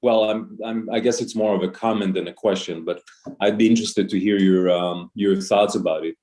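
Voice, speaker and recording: Male audience member at an academic talk, native Hebrew speaker, low pitch, discursive and hedging. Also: steady tone, fairly quick, dark tone. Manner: hedging, thinking aloud. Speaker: audience member asking a question.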